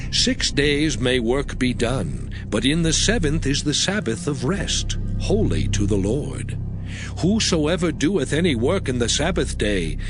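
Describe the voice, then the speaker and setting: A man playing God giving laws, solemn, stern and measured, in a declamatory dramatized scripture reading. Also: a little high. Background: music.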